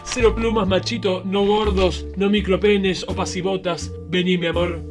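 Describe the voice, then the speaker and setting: Guy doing a camp theatrical doctor, high voice, mock-serious radio comedy, music behind. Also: flat delivery, normal speed, close to an everyday voice. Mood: haughty, lusty. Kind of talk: comic radio play acting.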